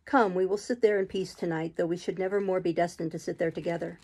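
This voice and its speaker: Brooding gentleman master read by a woman, middle-aged man, earnest, gravelly undertone, classic audiobook. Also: on the low side, steady tone, fast talker. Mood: tender. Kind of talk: audiobook reading of a classic novel.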